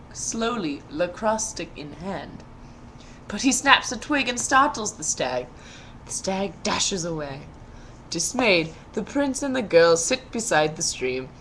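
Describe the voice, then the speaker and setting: A woman's voice: medium pitch, slight background noise, low-quality recording. A woman storyteller reading a fairy tale aloud in a playful, theatrical way, with casual humor.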